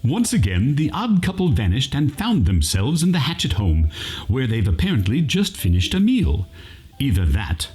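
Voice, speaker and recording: A man's voice: mid-range voice, huge pitch swings, moderate pace, a little mellow, breathy edge, exaggerated voice, low-quality recording. A man playing a wry, mock-dramatic narrator, reading scripted narration for a parody radio play.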